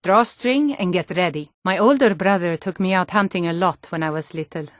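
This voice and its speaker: A woman's voice: on the low side, normal speed, no room sound, studio quality, close to an everyday voice. A woman voicing a guarded, self-reliant young archery instructor in a video game, plain-spoken and composed. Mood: composed, coaching. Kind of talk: video game character dialogue.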